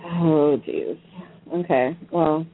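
A man from Maryland, a guest on a casual call-in talk show, animated and sarcastic and laughing often. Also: fairly high pitch, very slow. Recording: dry, tinny phone-line audio.

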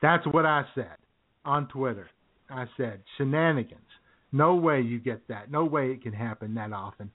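A man's voice: medium pitch, moderate pace. Middle-aged man, rambling chatty storyteller, tinny phone-line sound. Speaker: radio show co-host. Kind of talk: casual banter.

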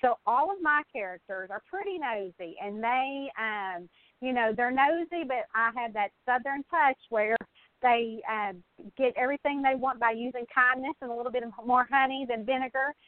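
Woman guest, fairly high pitch, effusive, folksy, casual chat, tinny telephone sound. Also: moderate pace, dry recording. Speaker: guest, mystery novelist. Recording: narrow phone-line sound.

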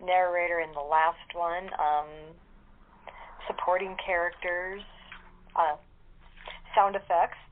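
A woman chatting casually as a guest, with halting, hesitant phrasing. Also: lowish voice, steady tone. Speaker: radio play actor and guest. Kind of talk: casual group chat. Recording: tinny phone line, faint noise.

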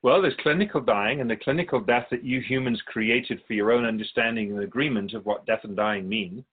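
Male actor as wise soul-keeping spirit, husky mid-pitched voice, patient, measured, gently wry, radio drama dialogue. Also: phone-quality sound. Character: patient, all-knowing spirit, keeper of souls.

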